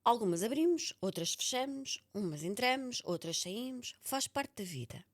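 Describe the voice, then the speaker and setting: A woman's voice: fairly high pitch, expressive, normal speed. A woman with a European Portuguese accent speaking candidly and reflectively as the guest in a personal interview, often repeating words for emphasis. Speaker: podcast author being interviewed.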